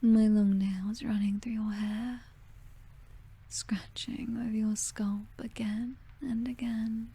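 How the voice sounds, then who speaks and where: A woman's voice: on the high side, flat delivery, husky, full character voice. A British woman playing a possessive, teasing vampire mistress in an intimate ASMR roleplay, whispering breathily. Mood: doting, possessive. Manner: slow, teasing, softly commanding.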